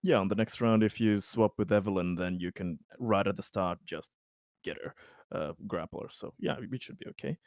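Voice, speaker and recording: A man's voice: sits low, moderate pace, a little mellow, no room sound, studio quality. A man playing in a tabletop game, giving easygoing tactical suggestions. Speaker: player. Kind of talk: casual game chat.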